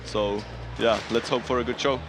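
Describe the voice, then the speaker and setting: A man's voice: mid-range voice, fairly even intonation, normal speed, noisy background. A man commentating casually at a sports event in an informal, upbeat manner with non-native English phrasing. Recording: music playing behind the voice.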